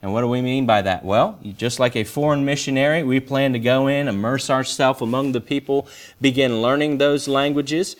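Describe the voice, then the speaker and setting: A man's voice: mid-range voice, steady tone, normal speed. A young adult American man, a missionary, speaking to a church congregation in a conversational, explanatory way with rhetorical questions. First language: English.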